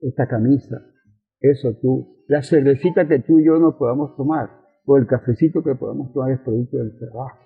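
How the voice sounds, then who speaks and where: Thin, narrow radio sound with music behind an older Venezuelan man. His voice is husky and he explains politics like a lecturer, posing rhetorical questions and repeating his key phrases. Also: mid-range voice, brisk. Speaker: interviewed communist politician and professor. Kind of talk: conversational political explanation in an interview.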